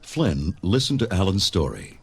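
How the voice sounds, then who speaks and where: A man's voice: low-pitched, wildly swooping pitch, moderate pace, gravelly, background noise. A man narrating a read-along adventure story in a dramatic, scripted style.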